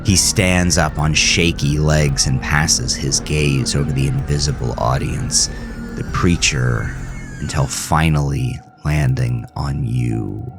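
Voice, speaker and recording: Deep-voiced man narrating horror, slow and deliberate. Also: steady tone, creaky. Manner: deliberate, suspenseful storytelling. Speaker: author narrating his own horror story. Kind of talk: scripted dramatic horror narration. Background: music under the narration.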